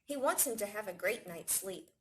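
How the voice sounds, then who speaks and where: A woman reading a picture book aloud to young children in an expressive storytelling manner. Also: mid-range voice, moderate pace, slight background noise. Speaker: library staff storytime reader.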